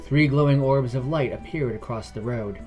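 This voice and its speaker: A man's voice: medium pitch, moderate pace, a little mellow, dull audio, faint music behind. A man reading scripted fantasy narration as a storyteller.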